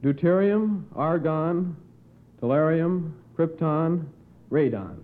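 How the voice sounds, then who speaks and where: A man's voice: fairly high pitch, animated, slow. A sixteen-year-old young man formally reading out quiz questions as a guest challenger on radio. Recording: tinny, band-limited 1950s radio recording.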